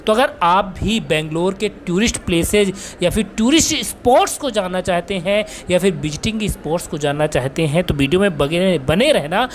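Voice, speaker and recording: A man's voice: high voice, animated, normal speed, few pauses, slight background noise. A man giving a chatty walking-tour narration as a travel vlogger, often rephrasing himself as he goes.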